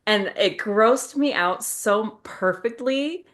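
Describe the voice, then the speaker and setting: A woman writer in a casual podcast chat, speaking informally and reacting with expressive enthusiasm. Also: mid-range voice, takes it slowly.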